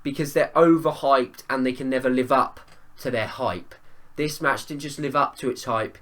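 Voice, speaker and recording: A man talks through a wrestling event in a casual review video, his voice hoarse and worn from a cold. His pitch sits in an ordinary middle range. He rambles, doubles back and offers strong opinions with eager enthusiasm. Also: steady tone, normal speed, fairly bright tone. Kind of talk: casual spoken review vlog.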